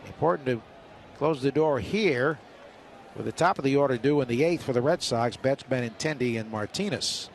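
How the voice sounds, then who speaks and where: A man's voice: mid-range voice, normal speed. A man giving live play-by-play baseball commentary as a radio announcer. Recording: faint stadium noise on radio broadcast. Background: outdoor ballpark ambience.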